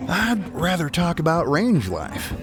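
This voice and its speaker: An actor playing a plainspoken, homesick rancher in a science fiction audio drama, talking in a folksy cowboy way. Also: male voice, fairly high pitch, huge pitch swings, measured pace. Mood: wistful, fond. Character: plainspoken rancher man, homesick, fond of his steed. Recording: music under the voice.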